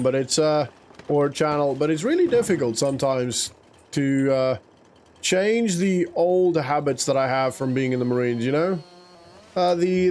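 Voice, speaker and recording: A man's voice: mid-range voice, gravelly, darkish tone, a little hiss or hum. A man whose first language is Afrikaans, chatting casually and rambling to himself while playing a farming game. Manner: rambling, self-talking, chuckles to himself. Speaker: gaming YouTuber. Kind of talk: casual let's-play commentary.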